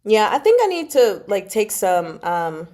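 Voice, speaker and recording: A woman with a chatty, giggly, expressive way of talking, casually hosting a livestream book club. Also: medium pitch, a little slow, slight background noise. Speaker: host of a book club reading stream. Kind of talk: casual livestream chat about a novel.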